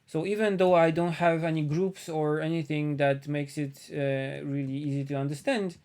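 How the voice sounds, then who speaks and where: A man from Poland with a Polish accent, chatting casually on a live stream as he paints, thinking aloud with frequent pauses. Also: on the high side, steady tone, darkish tone, smooth.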